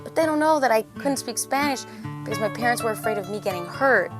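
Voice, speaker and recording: A woman's voice: a little high, expressive, fast talker. A woman speaking earnestly and reflectively in a documentary interview. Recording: music bed under voice.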